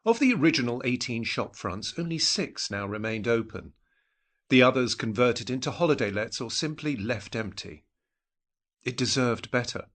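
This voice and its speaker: A man narrating a scripted literary story in a measured, wry storytelling manner. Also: mid-range voice, expressive, fairly bright tone. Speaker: narrator of an audio drama.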